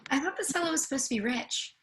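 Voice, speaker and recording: A woman's voice: high voice, lively intonation, fast, a bit bright. A woman playing a blunt, wry character with a folksy way of talking in a staged play reading. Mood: wry, needling. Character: blunt, breathless Irish young woman, earthy and teasing.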